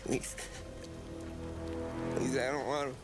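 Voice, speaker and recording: A man's voice: medium pitch, flat delivery, slow, natural voice. An actor playing a terrified street thug pleading for his life in a crime game. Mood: terrified, begging. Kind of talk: cinematic crime game acting. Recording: music and rain behind the voice.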